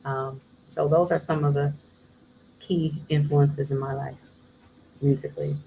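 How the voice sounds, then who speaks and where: A woman who sings, talking casually as an interview guest, soft-spoken with bursts of laughter. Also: steady tone, unhurried, vocal fry, slight background noise, rough recording. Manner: soft-spoken, breaks into laughter and high mimicry. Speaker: singer guest.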